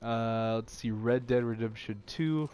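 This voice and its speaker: A man's voice: mid-range voice, a little slow, darkish tone, a little hiss or hum. A young man with a stuffy nose chatting casually about video games, rambling with many fillers and restarts. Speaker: gaming channel host. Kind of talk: casual gaming vlog chat.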